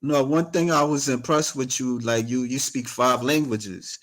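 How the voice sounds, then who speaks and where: A man's voice: medium pitch, steady tone, unhurried, fairly bright tone. A man hosting a casual interview, asking questions with stammering restarts and short backchannel replies. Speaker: interviewer, host.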